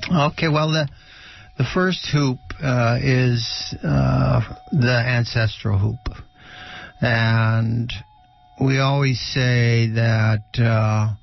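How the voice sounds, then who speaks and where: A middle-aged or older man giving unscripted spiritual teaching on a radio show, halting and often pausing mid-sentence. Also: mid-range voice, fairly even intonation, gravelly, lo-fi sound, faint music behind.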